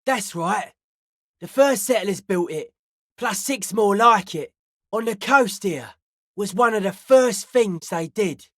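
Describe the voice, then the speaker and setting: An actor voicing a suspicious, anti-authority young underground raver for a video game, talking in a cryptic, slangy, conspiratorial way. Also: male voice, sits high, expressive, slow, dry recording, studio quality, full character voice. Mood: brooding, reflective. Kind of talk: scripted video game character dialogue.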